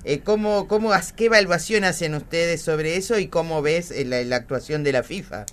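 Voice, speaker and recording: A woman interviewer with a Latin American Spanish accent and a low voice. She phrases her questions in a conversational, somewhat hesitant way. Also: normal speed, a little hiss or hum. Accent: Latin American Spanish, voseo.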